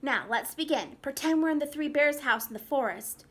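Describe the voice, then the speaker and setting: A woman voicing a bossy little girl dinosaur who gives loud, insistent orders. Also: high voice, animated, normal speed.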